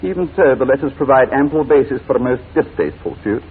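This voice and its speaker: Fast, smooth radio blackmailer. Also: male voice, mid-range voice, animated. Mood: coolly threatening. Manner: formal, smooth, mockingly courteous. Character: suave wicked male blackmailer, coolly polite and menacing. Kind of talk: 1940s radio drama acting. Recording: noisy, tinny 1940s radio recording.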